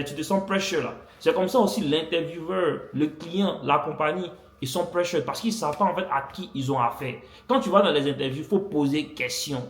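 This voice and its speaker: Animated young man telling a story, echoey room. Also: fairly high pitch. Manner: animated, addresses viewers as "les gars", repeats phrases for effect. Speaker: storytime vlogger. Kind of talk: casual storytelling to viewers.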